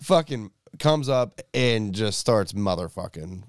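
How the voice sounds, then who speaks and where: A man with a raspy, hoarse voice, telling a crude story in casual chat as a co-host. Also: on the low side, animated, takes it slowly, dry recording, clean studio sound. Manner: profane, laid-back storyteller. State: hoarse after a night of drinking. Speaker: DJ and podcast co-host.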